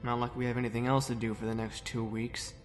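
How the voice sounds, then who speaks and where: A man voicing an earnest young soldier leader who speaks calmly and conciliatorily. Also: mid-range voice, fast, faint music behind, natural voice. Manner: calm, conciliatory.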